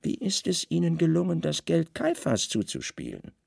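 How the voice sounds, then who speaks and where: A man playing a weary, brooding Roman governor with a sharp, commanding mind, in a literary radio drama. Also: fairly high pitch, wildly swooping pitch, normal speed, gravelly, breathy edge, dry recording, studio quality, pitched above the performer's own voice, exaggerated voice. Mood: wry, curious.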